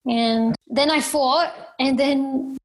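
Young Australian woman, fairly high voice, plain unpolished delivery, interview guest. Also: steady tone, takes it slowly, poor audio. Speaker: guest, professional fighter. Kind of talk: interview answers.

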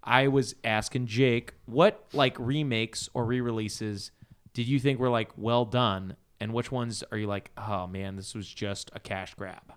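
A man's voice: mid-range voice, fairly even intonation, unhurried, clean tone. A man hosting a casual panel chat about video games. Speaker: podcast host.

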